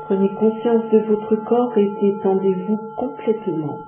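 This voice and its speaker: A woman leading a guided yoga relaxation, giving calm, measured instructions with long pauses. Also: mid-range voice. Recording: muffled phone-like sound, echoey, music behind.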